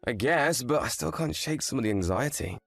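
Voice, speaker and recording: Man acting an earnest, quick-talking young husband. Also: medium pitch, lively intonation, vocal fry, a bit bright, dry recording, soft background music. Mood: anxious, grateful. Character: earnest, grateful young husband, anxious yet frank. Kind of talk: scripted dialogue for an online story drama.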